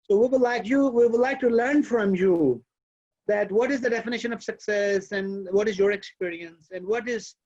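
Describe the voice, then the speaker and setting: A man hosting an online lecture session, talking in a halting way with many fillers and restarts over a video call. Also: high voice, darkish tone, muffled recording. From Pakistan. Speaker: host and professor.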